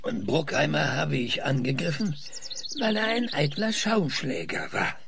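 A weary, sardonic male researcher in a sci-fi audio drama. He talks slowly and thoughtfully with dry irony, his pitch swinging widely and breaking into fry. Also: sing-song, fairly bright tone, slight background noise, exaggerated voice. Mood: reflective. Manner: hesitant, thoughtful, dryly ironic. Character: stubborn, sardonic male scientist Winston, probing and weary. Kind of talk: German audio drama acting.

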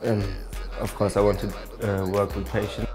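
Male med student, low voice, thoughtful, music clear behind. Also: flat delivery, normal speed. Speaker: medical student. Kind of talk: interview testimonial.

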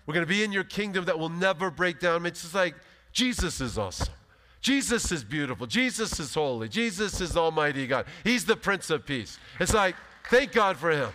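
A pastor in his fifties giving an animated, emphatic sermon from a church stage. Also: a little high, moderate pace. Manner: animated, emphatic preaching with big gestures. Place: large church stage, indoors. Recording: long hall reverb.

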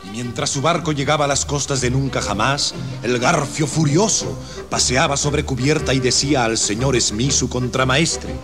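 A man's voice: medium pitch, normal speed. A man with a Mexican accent narrating a scripted children's story on an old 78 rpm record. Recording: vintage 78 rpm record, music behind.

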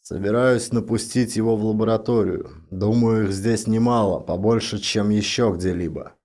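A man playing a grim, cautious scientist on a space expedition, explaining seriously and in a measured way for an audiobook. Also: lowish voice, a little mellow. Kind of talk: audiobook drama character voice.

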